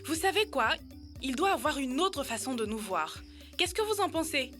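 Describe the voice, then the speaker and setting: A woman's voice: high-pitched, big pitch swings, moderate pace, fairly bright tone, soft background music. A woman playing an eager, curious young child in an educational children's cartoon dub. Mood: reasoning.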